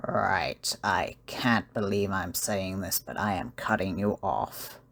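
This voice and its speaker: Exasperated tavern keeper, played by a man: low, creaky voice, blunt, dry and firm, audio play. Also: expressive, slow, a bit bright, full character voice. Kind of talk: audio drama acting.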